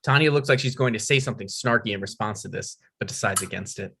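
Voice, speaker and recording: A man's voice: fairly low pitch, fast talker, fairly bright tone, breathy edge. A man narrating stage directions, reading a screenplay aloud at a table read.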